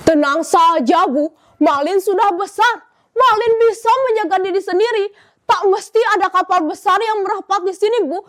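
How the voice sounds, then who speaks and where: A woman's voice: very high-pitched, a bit bright, no room sound, studio quality. A girl voicing a confident young man who hurries to reassure his mother that he can go. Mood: eager. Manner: brisk, reassuring.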